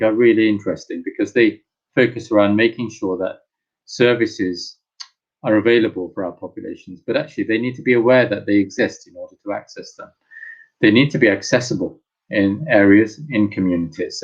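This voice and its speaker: A man on a health panel who speaks in a measured, organized way. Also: fairly low pitch, fairly even intonation, breathy edge, dull audio. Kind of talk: panel talk.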